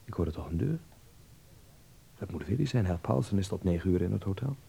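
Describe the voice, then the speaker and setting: A man's voice: low voice, expressive, a little fast, gravelly, warm tone, slightly rough, exaggerated voice. An actor in a radio drama playing a naive, prudish young Dutchman abroad who talks to himself and is easily flustered. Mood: calling out. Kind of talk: radio drama monologue and dialogue.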